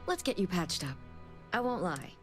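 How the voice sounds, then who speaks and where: An actress playing a weary, reserved doctor in a video game, speaking in a measured, hesitant way. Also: female voice, on the low side, sing-song, soft background music. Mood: businesslike. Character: weary, reserved woman doctor at a colony clinic. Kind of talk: video game character dialogue.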